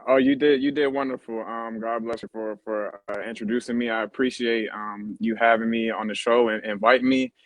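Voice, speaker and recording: Guest, man, 30s, halting and filler-heavy, mid pitch, dry close sound. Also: level tone, no echo, low-quality recording. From born in Germany on a US military base. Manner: halting, many fillers and restarts. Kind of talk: casual interview talk.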